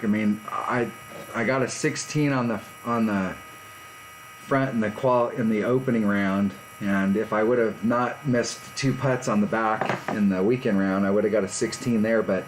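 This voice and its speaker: A man's voice: lowish voice, slight background noise, low-quality recording. A man in his fifties chatting casually and rambling on a live stream from his garage. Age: 50s. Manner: rambling, laid-back, self-deprecating. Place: home garage.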